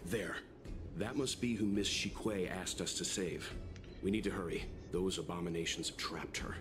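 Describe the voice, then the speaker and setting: Male actor as earnest game hero, low voice, calm and protective, game music behind. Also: moderate pace, close to an everyday voice. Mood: serious, urgent. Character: calm, serious, protective adult male adventurer. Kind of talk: video game voice acting.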